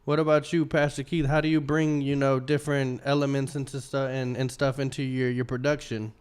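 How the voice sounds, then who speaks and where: A man hosting a casual studio interview, asking questions in a relaxed way with fillers and restarts. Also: mid-range voice, a little fast, clean tone. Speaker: interview host.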